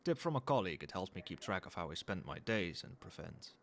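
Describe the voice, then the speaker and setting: Cold, demanding male CEO, played by a man, ASMR roleplay: low register, measured businesslike delivery, audible background noise. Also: sing-song, gravelly, exaggerated voice. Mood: firm, businesslike. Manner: formal, measured, businesslike, faintly suggestive. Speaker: voice actor in an audio roleplay. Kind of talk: ASMR roleplay, one-sided dialogue to the listener.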